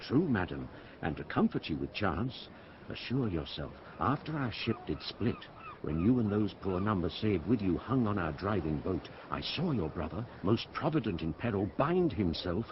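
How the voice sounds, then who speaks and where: An actor playing a loyal, frank sea captain with a reassuring, storytelling manner in a Shakespeare radio drama. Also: male voice, deep voice, big pitch swings, moderate pace, a little hiss or hum. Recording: muffled, loud outdoor ambience behind.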